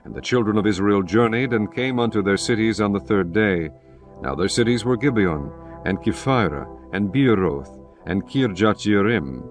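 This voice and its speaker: Low solemn guy reading scripture, soft music behind. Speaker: narrator.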